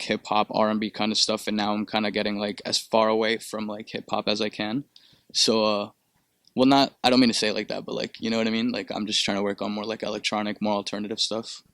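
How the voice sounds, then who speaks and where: A young man with a low-pitched voice, recorded close and dry. He talks in a relaxed, rambling way, full of fillers and casual swearing. He grew up in Peru. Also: no echo. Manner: laid-back, rambling with many fillers and profanity. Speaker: musician guest. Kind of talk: casual interview.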